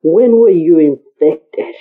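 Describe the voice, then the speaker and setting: A woman's voice: lowish voice, animated, slow pace, husky, pitched below the performer's own voice, full character voice. A woman voicing a male doctor who interrogates a colleague with pressing questions, heard over a tinny phone-like line. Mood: cold interrogation.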